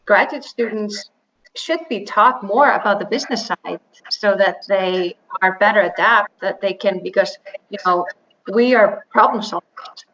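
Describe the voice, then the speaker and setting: A woman, answering interview questions in English with many pauses, fillers and restarts. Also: medium pitch, fairly bright tone. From Iceland. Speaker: interview guest, biotech executive. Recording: slightly dull, faint noise.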